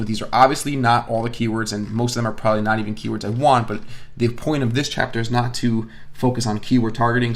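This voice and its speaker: Man casually narrating a software tutorial. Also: medium pitch. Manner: casual, explanatory, thinking aloud. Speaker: online course instructor. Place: indoors at a computer, voice-over of screen recording.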